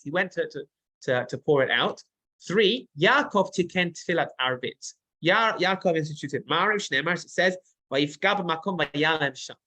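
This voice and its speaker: Man, bright voice, fairly high, reads Hebrew lines then translates and explains. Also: rough recording. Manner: thinking aloud, with frequent fillers and restarts. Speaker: Torah teacher. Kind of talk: text-based Torah class.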